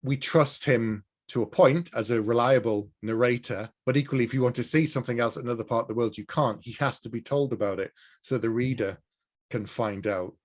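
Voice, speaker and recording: A man from Newcastle hosting an interview, chatting agreeably with his guest over a video call. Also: mid-range voice. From Newcastle, England. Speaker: interview host. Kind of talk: casual interview conversation.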